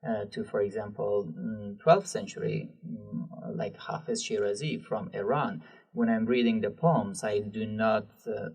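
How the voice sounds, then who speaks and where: A man's voice: fairly low pitch, fairly even intonation, measured pace, creaky, a little mellow, slightly rough, distant mic, poor audio. A man from Afghanistan, a guest language expert in a podcast interview, speaking hesitantly with many fillers. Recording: voice from off the mic.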